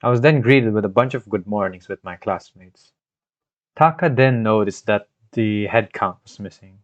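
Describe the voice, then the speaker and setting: Man, low voice, storytelling game recap narration, dramatic phrasing, muffled lo-fi mic. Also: no echo. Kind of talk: scripted story recap narration with personal asides. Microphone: home microphone, scuffed in parts. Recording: dry, dull lo-fi home microphone.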